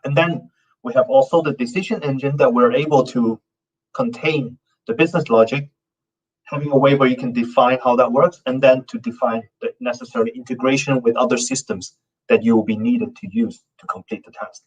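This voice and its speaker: A man presents his company at a conference, mid-pitched and halting, with plenty of fillers and restarts. His voice sounds set back from the microphone. The recording has a dull, muffled tone. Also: fairly even intonation, distant mic. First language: not Norwegian. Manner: loose, halting delivery with many fillers and restarts. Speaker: conference speaker, company founder. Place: conference stage.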